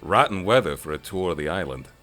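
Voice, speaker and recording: An actor playing an irritable geneticist in an audio drama, grumbling and sarcastic. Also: male voice, low-pitched, animated, fast talker, fairly bright tone, faint music behind. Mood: annoyed.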